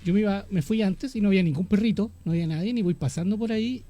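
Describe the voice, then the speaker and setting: Fast-talking chilean man, high pitched. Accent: Chilean Spanish. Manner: lively, quick storytelling. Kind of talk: casual radio conversation. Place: radio studio.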